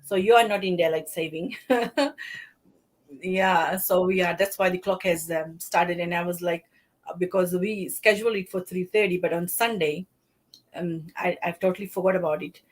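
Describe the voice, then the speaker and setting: A woman's voice: lowish voice, moderate pace. A woman from Fiji, a guest talking in a casual live interview over a video call, friendly and rambling, laughing often. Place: home in Sydney, afternoon.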